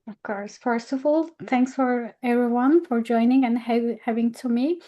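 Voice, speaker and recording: A young woman from Turkey speaking non-native English with a Turkish accent, halting as she searches for words while telling her story as an interview guest. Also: a little high, warm tone, dull audio. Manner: hesitant, halting phrasing with many word searches. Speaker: guest speaker, education technology professional. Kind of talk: personal account in an online interview.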